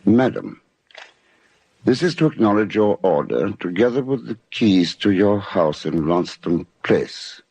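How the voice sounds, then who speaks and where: An actor playing a suave, scheming professor husband in a measured, urbane 1950s radio drama style. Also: male voice, fairly low pitch, very animated, darkish tone, husky, no room sound, exaggerated voice. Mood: guarded, measured. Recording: vintage 1950s radio, music underneath.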